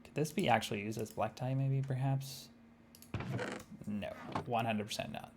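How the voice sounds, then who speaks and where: A man's voice: medium pitch, steady tone, normal speed, vocal fry, background noise. A young man from Argentina with an Argentine Spanish accent, live-streaming a game and chatting casually with viewers, thinking aloud with many fillers. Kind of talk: live-streamed gameplay chat.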